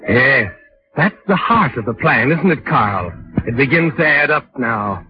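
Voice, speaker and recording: An actor playing an ominous, all-knowing narrator who sardonically addresses the culprit in a 1940s radio mystery. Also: male voice, mid-range voice, lively intonation, measured pace, natural voice. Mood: knowing, suspenseful. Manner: sardonic, addresses the character as "you". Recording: muffled vintage radio recording with music.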